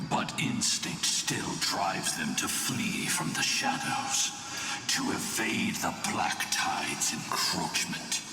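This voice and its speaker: An actor playing a solemn, stern warrior in a video game, with formal archaic speech and a gravelly, breathy voice. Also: male voice, low voice. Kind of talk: dramatic video game voice acting. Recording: echoey hall with long reverb, distant. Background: loud game music.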